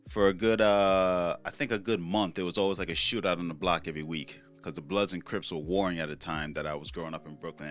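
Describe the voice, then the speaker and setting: Man, young adult, deep voice, relaxed rambling talk, lots of fillers, faint background music. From Trinidad. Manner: laid-back, rambling, profane, many fillers. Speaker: podcast host. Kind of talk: casual solo commentary.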